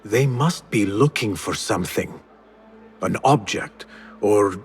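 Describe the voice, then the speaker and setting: Young ex-thief, husky, guarded, measured, determined, game cutscene, faint music behind. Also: male voice, lowish voice, full character voice. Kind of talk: video game cutscene acting.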